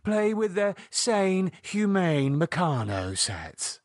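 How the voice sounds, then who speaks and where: A haughty British woman, as a male narrator puts her on in a gothic audiobook. She is sensual, arch and sardonic, pitched high with wide swoops, in a dry close recording. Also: very animated, measured pace, no echo. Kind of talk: audiobook character dialogue.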